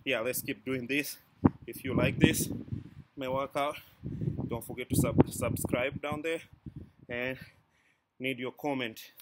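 A man's voice: mid-range voice, fairly even intonation, a little slow, pauses often, heavy background noise. A man in his thirties from Zanzibar with a Tanzanian accent, chatting to a phone camera in his backyard as a fitness vlogger. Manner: short counted cues between breaths during exercise. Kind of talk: workout coaching and chat to camera. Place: backyard outdoors. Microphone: camera mic, phone up close for the talk.

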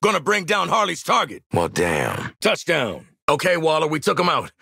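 An actor playing a tough, cocky American hitman in a video game, with a gravelly, husky voice and a clipped, sardonic, commanding delivery. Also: fairly high pitch, wildly swooping pitch, moderate pace, bright, forceful tone, dry recording, studio quality, full character voice. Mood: dry, confident. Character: tough, cocky, no-nonsense hitman and squad soldier. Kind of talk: video game combat barks and banter.

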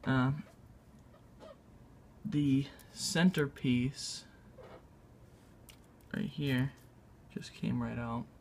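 A man narrating a casual hands-on tutorial in a halting, unscripted way, thinking aloud as he works. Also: medium pitch, fairly even intonation, vocal fry, darkish tone, a little hiss or hum. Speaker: video maker demonstrating a repair. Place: indoors at a tabletop.

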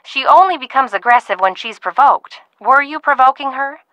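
An actress playing a fussy older aunt who runs a ranch in a video game, genteel and stern, often calling people dear. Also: female voice, high-pitched, lively intonation, moderate pace, sharp tone, dry recording, dull audio. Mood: prim scolding. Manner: prim, genteel scolding with frequent "dear".

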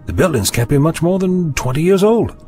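A man's voice: fairly high pitch, expressive, brisk, mellow, soft background music, natural voice. A man playing a curious, breezy, slightly fussy adventurer in an audio drama, musing and chatty.

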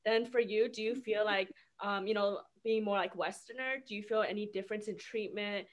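A woman's voice: medium pitch, fairly even intonation, fairly quick. Woman co-host asking thoughtful questions. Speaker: podcast co-host. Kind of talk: casual video call interview.

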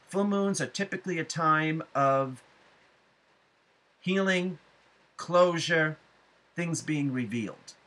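A middle-aged man giving a spiritual forecast to viewers, speaking deliberately with emphatic phrasing and frequent pauses. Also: fairly high pitch, pushed and bright. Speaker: astrology forecaster and psychic medium. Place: small room at home, indoors.

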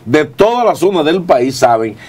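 Male TV host, 60s, Dominican accent, slightly high pitch, emphatic panel commentary. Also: very animated, normal speed, darkish tone. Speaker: TV talk show host. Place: TV studio.

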